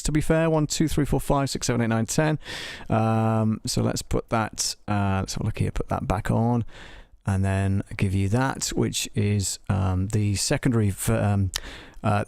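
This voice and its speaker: A man hosting a live software walkthrough, talking casually and tentatively, thinking aloud as he tests things. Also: lowish voice, creaky, no room sound, clean studio sound. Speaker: livestream host demonstrating audio software.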